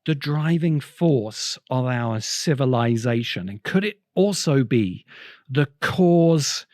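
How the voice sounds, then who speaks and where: A man delivering a reflective essay-style lecture as a host, speaking in an erudite, deliberate way with pauses between phrases. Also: medium pitch, lively intonation, darkish tone, no room sound, studio quality. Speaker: host of a science fiction essay show.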